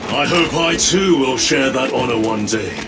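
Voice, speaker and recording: An actor playing a zealous, solemn space marine battle-brother in a video game, with formal, grave, righteous delivery. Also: male voice, mid-range voice, lively intonation, normal speed, over loud music, lightly acted. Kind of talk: dramatic video game acting. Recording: echoey, distant, under loud vehicle and battle noise.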